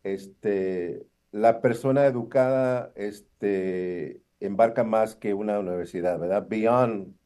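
Mellow man, hesitant interview guest. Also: on the low side, takes it slowly. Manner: hesitant, filler-heavy, slips into English words. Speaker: university professor, interview guest. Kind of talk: conversational interview answers.

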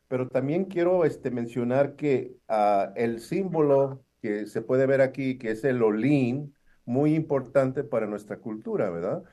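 A man answers as a guest academic. His voice is mellow and of medium pitch. He hesitates often, leans on fillers and slips into English now and then. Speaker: university professor, interview guest. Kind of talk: conversational interview answers.

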